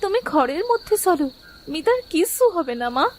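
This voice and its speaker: A woman voicing an eager, caring young village daughter in a cartoon story, with earnest, expressive delivery. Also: very high-pitched, a little fast, a little hiss or hum. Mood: worried. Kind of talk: Bengali animated moral story dubbing.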